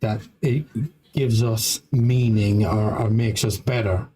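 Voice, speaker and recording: A man's voice: lowish voice, steady tone, slow pace, warm tone, poor audio. A man in a casual talk, asking questions in a hesitant, circling way full of fillers and restarts. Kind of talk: casual live-stream conversation.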